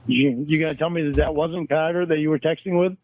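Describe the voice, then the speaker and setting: A man's voice: a little high, steady tone, fast talker, heavy background noise. A man arguing on a phone call, repeating accusations and stumbling over his words. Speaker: caller in a recorded phone argument. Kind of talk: heated phone argument. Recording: tinny dry phone line.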